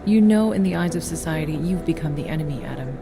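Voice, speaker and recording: An actress playing a composed, straight-talking agency doctor in a video game, measured, dry and probing. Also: female voice, low-pitched, animated, vocal fry. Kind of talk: video game cutscene acting.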